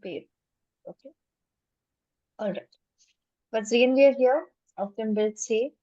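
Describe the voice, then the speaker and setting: A woman takes a small online class through German, switching easily between English and German. Her voice sits a little high and sounds dry and close to the mic. She explains patiently, one step at a time. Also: slow, mellow, no echo, muffled recording. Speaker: German teacher.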